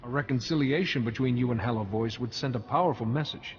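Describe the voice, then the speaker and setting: An actor playing a calm, principled Jedi hero in a game cutscene, speaking in a measured, earnest way. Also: male voice, mid-range voice, lo-fi sound, soft background music, lightly acted. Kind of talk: video game cutscene acting.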